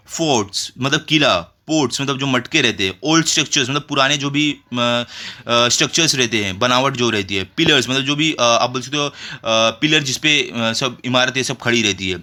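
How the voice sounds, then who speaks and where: An Indian man teaches schoolchildren in a bright, forceful voice at an average male pitch. He explains step by step, reading and translating as he goes. He often asks rhetorical questions and adds short check phrases. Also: lively intonation, normal speed. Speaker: school tutor. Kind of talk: explanatory lesson for children, reading textbook and translating.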